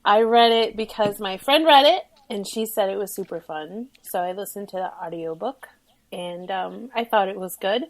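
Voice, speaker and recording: A woman's voice: medium pitch, normal speed. A woman guesting on a podcast, chatting casually about a book with dry, self-deprecating humor. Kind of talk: casual chat.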